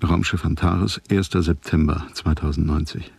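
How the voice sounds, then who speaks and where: Very deep, gravelly lone spaceman. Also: moderate pace, dry recording, heavily acted. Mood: grave, lonely. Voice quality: gravelly, husky. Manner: flat, grave, monotone. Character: lonely, grave spaceship crewman recording a log. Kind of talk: radio drama log narration.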